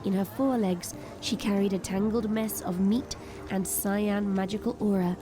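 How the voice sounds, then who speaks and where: A woman's voice: medium pitch, fairly even intonation, normal speed, noisy background. A woman narrator delivering dramatic scripted storytelling for an audio drama.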